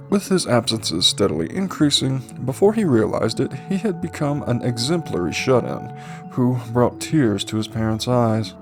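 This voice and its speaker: A man with a gravelly voice narrates a fantasy story in an animated, swooping read. His phrasing is deliberate, with drawn-out words and pauses, and soft music plays faintly behind him. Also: mid-range voice. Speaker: amateur audiobook narrator. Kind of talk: scripted narration of a fantasy light novel.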